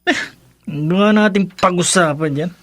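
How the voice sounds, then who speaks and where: An actor playing a patient, embarrassed husband and dutiful son in a Filipino radio drama, talking in a pleading, conversational way. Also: male voice, sits high, normal speed, fairly bright tone, a little hiss or hum. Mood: weary, embarrassed.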